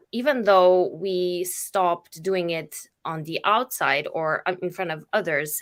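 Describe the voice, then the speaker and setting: A woman's voice: fairly low pitch, fairly bright tone. A woman from Slovenia whose first language is Slovenian, talking in a casual panel chat with many fillers and restarts. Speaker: facilitator on a panel.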